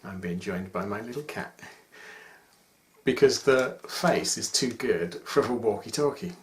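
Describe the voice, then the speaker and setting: A man's voice: on the low side, lively intonation, measured pace. A man in his forties chatting casually to camera as he presents collectible figures from a room at home. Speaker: toy collector presenting a video. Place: small domestic room indoors. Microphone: camera microphone at about arm's length. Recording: echoey room with faint noise.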